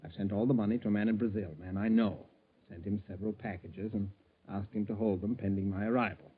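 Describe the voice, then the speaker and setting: An actor playing a nervous, scheming middle-aged embezzler who hesitates and stammers, in 1940s radio style. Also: male voice, on the low side, steady tone, fast, husky. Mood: coolly scheming. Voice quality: hesitant, stammering under pressure. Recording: tinny, band-limited 1940s radio recording.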